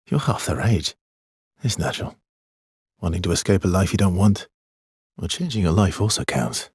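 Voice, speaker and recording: Low, husky, weary immortal expeditioner. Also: male voice, darkish tone, no room sound, studio quality, exaggerated voice. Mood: calm, guarded. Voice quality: husky, breathy, gravelly low voice. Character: weary, guarded immortal male expeditioner, earnest and protective. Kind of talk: dramatic video game voice acting, naturalistic and understated.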